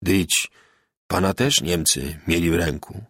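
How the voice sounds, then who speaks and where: A man reading an audiobook, voicing a slow-witted peasant husband with halting rustic speech. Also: low-pitched, fairly bright tone, slightly rough, no room sound, clean studio sound, voice pushed down, full character voice. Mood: doubtful. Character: Bartek, dim, bug-eyed peasant husband, war veteran. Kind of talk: literary audiobook character voice.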